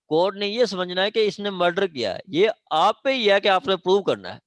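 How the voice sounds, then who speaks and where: A man's voice: a little high, no echo. Pakistani man teaching law, dry close sound. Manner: hesitant, repetitive, often checking understanding; mixes Urdu with English legal terms. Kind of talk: informal classroom lecture with questions to students.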